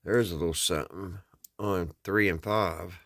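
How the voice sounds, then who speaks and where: A man's voice: low-pitched, fairly even intonation, measured pace, fairly bright tone, no echo, clean studio sound. An American man talking casually and folksily, thinking aloud as he hosts a hands-on repair vlog. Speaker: host of a radio repair video.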